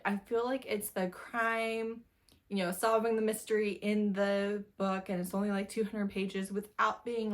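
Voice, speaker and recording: Chatty, informal woman reviewing books. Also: mid-range voice. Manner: chatty, informal, emphatic with repeated phrases. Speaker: book reviewer, video host. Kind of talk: casual book review chat to camera.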